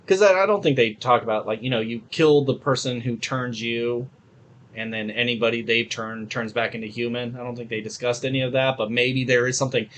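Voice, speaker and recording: A man with a mid-pitched voice chats casually as a podcast co-host. He gives blunt opinions and swears freely, in an informal reviewing style. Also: fairly even intonation, fairly quick, a bit bright. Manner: profane, opinionated.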